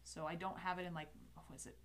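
Canadian woman, lowish voice, rambly streamer chat at her desk, faint hiss behind. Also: little pitch movement, fast, fairly bright tone. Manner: rambling and self-interrupting, with light laughs. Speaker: calligraphy teacher streaming. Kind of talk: casual livestream lesson, chatty asides. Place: home desk studio indoors. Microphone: headset.

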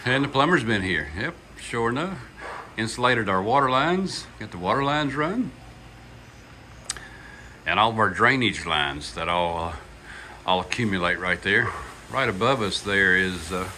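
An older man talks casually as he films a tour on his phone, folksy and full of "uh"s. His voice is bright and forward. A faint hiss of background noise sits under him. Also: lowish voice. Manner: folksy, chatty, with many "uh" fillers and "yeehaw". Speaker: vlogger touring his house build. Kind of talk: casual walkthrough narration. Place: unfinished wooden house frame, indoors and on outdoor steps. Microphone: phone camera held by the speaker.

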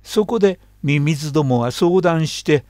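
A man in his seventies reading an old folktale aloud in a slow, measured storytelling manner. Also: on the high side, expressive, darkish tone, no room sound. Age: 70s. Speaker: narrator.

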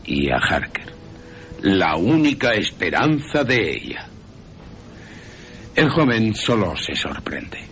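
Male narrator, Castilian Spanish accent, radio horror: low gravelly voice, theatrical suspense. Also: sing-song, slow, pauses often, fairly bright tone, a little hiss or hum. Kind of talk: dramatic radio horror storytelling.

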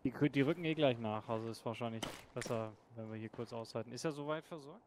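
A man in a squad of a military simulation game, briskly reporting and giving orders. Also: medium pitch, background noise. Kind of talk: tactical radio chatter. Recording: distant voice over loud gunfire.

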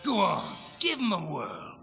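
An actor playing a sly, mocking cyborg mercenary in a video game, with a gravelly voice, an Australian accent and slangy, taunting delivery. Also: male voice, high-pitched, wildly swooping pitch, a little slow, pushed and bright, exaggerated voice. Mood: cajoling. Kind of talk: video game cutscene acting. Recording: music under the voice.

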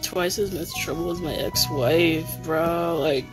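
A woman voicing a crude, fed-up animal principal in a parody cartoon, with slangy, drawling deadpan. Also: sits low, measured pace, soft background music, low-quality recording.

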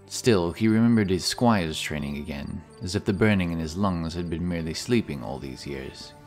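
Deep-voiced male fantasy narrator. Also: moderate pace, a little mellow, faint music behind. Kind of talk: scripted fantasy audiobook narration.

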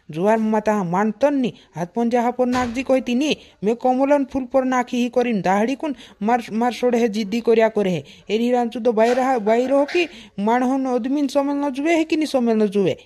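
A woman's voice: on the high side, normal speed, mellow, natural voice. A defiant cartoon villager, voiced by a woman. The character argues insistently, in a scolding way. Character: defiant, argumentative village voter. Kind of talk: cartoon comedy dialogue.

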